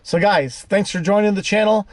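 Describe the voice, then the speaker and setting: Higher-voiced man hosting a casual vlog. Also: moderate pace, no room sound. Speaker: host of an off-road vehicle channel. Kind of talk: casual product demo vlog.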